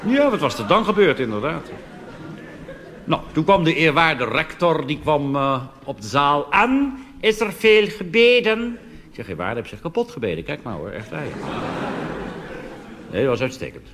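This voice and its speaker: Dutch cabaret comedian in a reverberant hall. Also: male voice, on the high side, moderate pace. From Netherlands. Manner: deadpan punchlines with animated put-on voices. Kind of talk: stand-up monologue. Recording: reverberant hall with audience noise.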